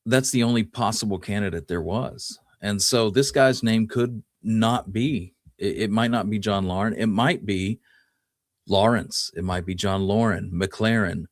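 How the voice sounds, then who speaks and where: A man's voice: fairly low pitch, a little slow, no room sound, clean studio sound. A man co-hosting a history podcast, talking casually and musing his way through the details. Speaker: podcast co-host.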